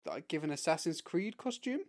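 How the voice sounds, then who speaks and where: A man's voice: a little high, a little fast. A man, casually explaining the games he has been playing. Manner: relaxed, explains at length. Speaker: podcast co-host. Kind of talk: casual gaming chat.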